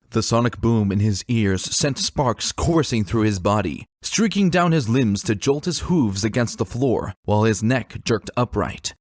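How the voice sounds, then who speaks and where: A man with a fairly low voice reads a story as a dramatic narrator. His pitch moves in a very lively way and his phrasing is deliberate and expressive. Music plays behind him. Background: background music.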